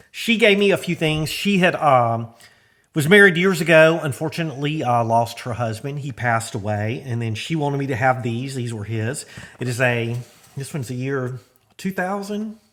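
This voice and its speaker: A man's voice: medium pitch, fairly bright tone. A middle-aged American man hosting a vlog, talking in a casual, rambling, folksy way with plenty of informal slang. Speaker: host of a garage vlog.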